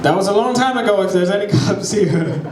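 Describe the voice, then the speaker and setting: A man's voice: high-pitched, brisk, noisy background. Ugandan man doing stand-up in an echoey room. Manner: playful, crowd work, acts out voices in his stories. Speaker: stand-up comedian. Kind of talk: stand-up comedy set. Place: brewery comedy show before a live audience. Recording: echoey brewery room with crowd ambience.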